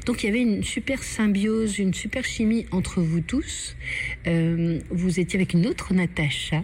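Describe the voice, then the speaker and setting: A woman's voice: mid-range voice, steady tone, a little slow, darkish tone, slight background noise. A woman interviewing guests in a casual, chatty way, often trailing off mid-sentence. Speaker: radio interviewer.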